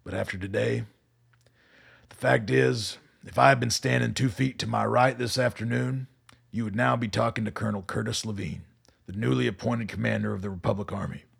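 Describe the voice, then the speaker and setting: An actor playing a hardened, weary army colonel in a radio play, gravelly and husky, with a dry, deliberate manner. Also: male voice, lowish voice, steady tone, exaggerated voice. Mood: grim, commanding.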